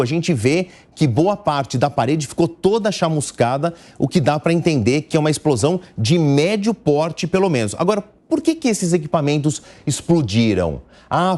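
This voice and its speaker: A Brazilian man with a slightly higher-pitched voice works as a live news commentator. He explains things methodically, step by step, and leans on important words like a teacher. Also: darkish tone. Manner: didactic, step-by-step, emphasising key words.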